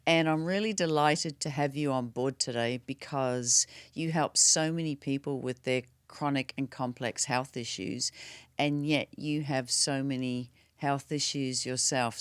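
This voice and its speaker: A woman with a very low, smooth, resonant voice hosts a podcast interview. She welcomes her guest warmly and asks follow-up questions, and she sometimes restarts mid-sentence. The sound is dry and close, with no room echo. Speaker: podcast host.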